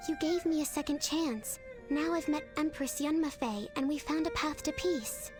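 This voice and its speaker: Girl voicing young princess in a game, high voice, humble n sweetly earnest, loud music all over it. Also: normal speed, close to an everyday voice. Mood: earnest, grateful. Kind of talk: video game voice acting.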